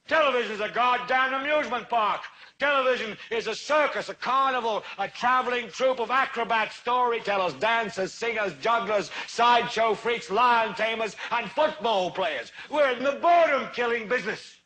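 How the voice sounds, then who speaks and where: An actor playing a furious, prophetic TV news anchor, ranting in oratorical, repeating cadences like a zealot in a film monologue. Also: male voice, very high voice, moderate pace, bright, forceful tone, a little hiss or hum, rough recording. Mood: fiery preaching.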